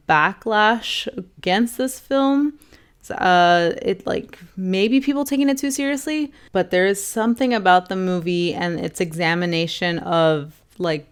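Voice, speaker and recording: A young woman vlogger casually reviewing films to camera, rambling with lots of fillers and restarts, a little hoarse. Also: on the low side. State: slightly hoarse. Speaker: film vlogger ranking movies.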